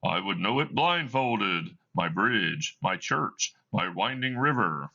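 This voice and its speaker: A man's voice: fairly low pitch, expressive, takes it slowly, vocal fry, pushed and bright, dull audio, full character voice. A man reading aloud as a bitter old miser, grieving and full of regret, with a broken, mournful delivery. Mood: pleading. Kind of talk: classroom read-aloud of a play.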